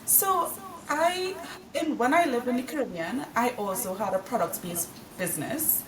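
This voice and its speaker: A woman's voice: a little high, crowd noise. Woman, distant roomy mic. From Trinidad and Tobago. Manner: measured, earnest explanations. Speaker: podcast guest, business consultant. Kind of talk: casual interview.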